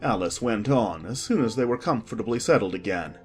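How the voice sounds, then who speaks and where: A man narrating a children's audiobook in an expressive, measured storytelling manner. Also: fairly low pitch, faint music behind.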